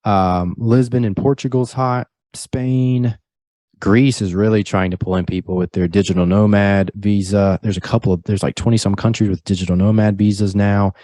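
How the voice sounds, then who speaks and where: A man with a low, warm, mellow voice speaks as a guest on a podcast. He sounds earnest and motivational and keeps a steady delivery. The sound is dry and close, with no room echo. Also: normal speed. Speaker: podcast guest, real estate broker. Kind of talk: casual interview chat.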